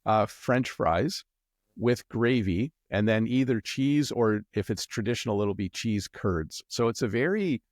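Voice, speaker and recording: A middle-aged man with a Canadian accent talks in a relaxed, meandering way, stopping to restart his sentences. His voice sits fairly low, and the recording is close and dry with no room sound. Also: a little slow, no echo. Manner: relaxed, rambling with many ums and restarts. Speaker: guest. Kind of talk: casual interview chat.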